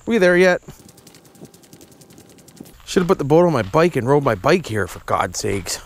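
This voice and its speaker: Animated high-voiced rural Canadian man. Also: unhurried. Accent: rural Ontario Canadian. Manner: folksy, repetitive slangy tag questions. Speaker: vlogger. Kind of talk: casual vlog chat to camera. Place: outdoors at a campground, trail and lake. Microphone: handheld action camera. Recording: windy, noisy outdoor camera audio.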